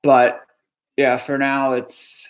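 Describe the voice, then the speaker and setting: A man's voice: medium pitch, completely flat delivery. Dejected, stammering man on a live stream. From New Jersey. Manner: dejected, halting, stammers with many restarts and sighs. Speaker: live streamer answering chat. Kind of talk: live reaction stream, reading chat questions.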